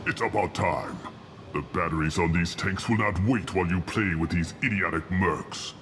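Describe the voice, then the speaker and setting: A man's voice: sits low, normal speed, natural voice. A man playing a proud, contemptuous old alien warlord scientist in a video game, speaking in a pompous, theatrical way. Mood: impatient, scornful. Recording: loud ambient noise behind.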